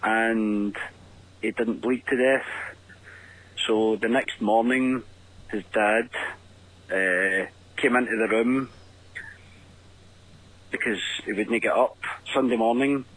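Slow, profane Scottish man. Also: fairly low pitch, fairly even intonation, fairly bright tone, poor audio. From Scotland. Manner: gruff, profane, deliberately slow. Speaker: caller leaving a voice message. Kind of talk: casual anecdote telling.

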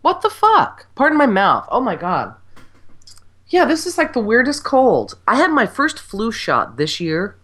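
A woman's voice: a little high, huge pitch swings, measured pace, a bit bright. A woman co-hosting a casual chat, stuffy and congested from a cold, talking in a blunt, teasing way and swearing freely. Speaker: podcast co-host and radio presenter.

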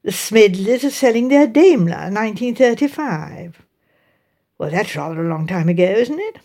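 A woman's voice: medium pitch, animated, moderate pace, warm tone. A woman voicing a middle-aged, upper-middle-class English village mother who rambles on in a fussy, opinionated, genteel way. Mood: bright, chatty.

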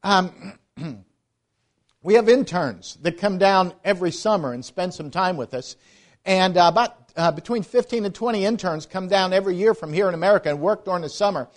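Earnest man giving a missions talk. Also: high-pitched. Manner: earnest, halting with repeated restarts. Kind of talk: church missions presentation.

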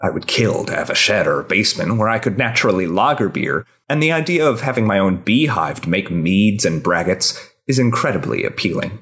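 A man's voice: fairly low pitch, sing-song, fairly quick, hardly any pauses, fairly bright tone. A man hosting a podcast and reading a scripted personal essay aloud.

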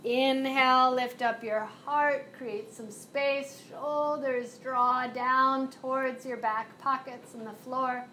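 A woman with a fairly high voice leads a chair yoga class at a very slow, soothing pace, giving gentle cues for each movement and breath. A faint hum of room noise sits behind her in the live classroom. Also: steady tone. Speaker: yoga and mindfulness instructor. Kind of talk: guided chair yoga instruction.

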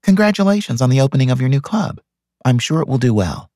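A man's voice: mid-range voice, animated, fast, darkish tone, no room sound, clean studio sound, voice pushed up, lightly acted. A man voicing a polite, guarded young career woman in an audiobook story. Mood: politely declining.

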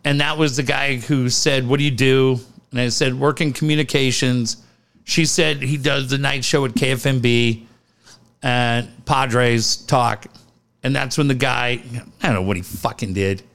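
A middle-aged man co-hosting a casual podcast, telling profane, animated stories. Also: mid-range voice, unhurried, gravelly. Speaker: podcast co-host.

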